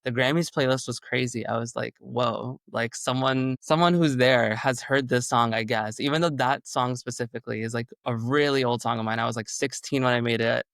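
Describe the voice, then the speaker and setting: A man's voice: mid-range voice, fairly even intonation, brisk, creaky, hardly any pauses, a bit bright, smooth, no echo, clean studio sound. A young man, a singer whose first language is Urdu, talking in a rambling casual way with many fillers. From Canada. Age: young adult. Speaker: singer-songwriter guest. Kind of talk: casual interview chat.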